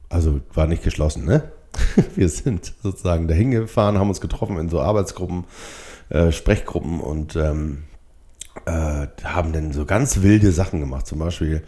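A middle-aged or older man hosting a solo podcast, speaking reflectively and haltingly, with short blocks and pauses from a stutter. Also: sits low, dark tone, husky. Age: 50s or older. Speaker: podcast host.

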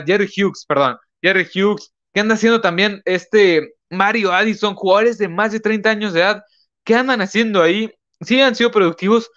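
A young man hosts his podcast in a slightly high voice. He rambles casually, piling up fillers and correcting himself mid-sentence. The sound is dull and lo-fi. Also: a bit bright. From northern Mexico. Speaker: podcast host. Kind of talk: casual live-stream talk.

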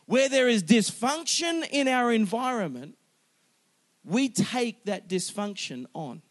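Pastor, 40s male, fairly high voice, punchy emphatic sermon with jokes. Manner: animated, emphatic, joking with the congregation.

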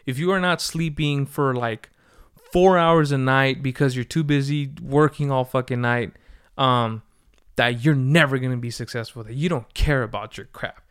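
A thirty-year-old man talks through a casual solo podcast update in a smooth, clean-toned voice. He rambles, restarts his sentences and swears freely, with a tired edge from poor sleep. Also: mid-range voice, steady tone, moderate pace. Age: 30s. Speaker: podcast host.

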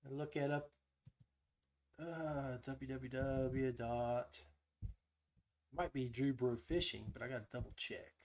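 A man's voice: mid-range voice, steady tone, takes it slowly, pauses often, darkish tone. A man hosting a casual live tutorial, thinking aloud as he demonstrates each step. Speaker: podcast host giving a software tutorial.

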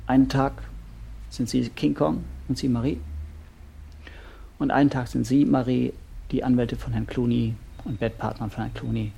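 An actor playing a probing, unflappable couples therapist with odd methods, speaking in a measured, polite way in a naturalistic radio play. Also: male voice, medium pitch, flat delivery, gravelly, dark tone, breathy edge. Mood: brisk, satisfied. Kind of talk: naturalistic improvised-sounding radio play dialogue.

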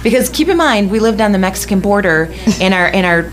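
A woman's voice: mid-range voice, lively intonation, a little fast. A middle-aged woman from West Michigan, a radio host telling a long story in casual chat, often laughing and restarting her sentences.

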